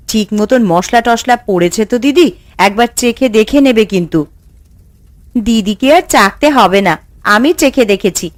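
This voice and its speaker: A woman's voice: mid-range voice, no room sound, close to an everyday voice. A woman voicing a hopeful, good-hearted village housewife cook in a cartoon, homely and earnest. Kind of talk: cartoon voice acting.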